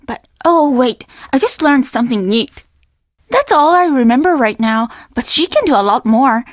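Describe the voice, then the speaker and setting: A woman's voice: high voice, sing-song, moderate pace. A woman voicing an earnest, apologetic young innkeeper at a Japanese inn in a video game, speaking with formal politeness and an undertone of trouble. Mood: friendly, chatty. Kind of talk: video game character dialogue.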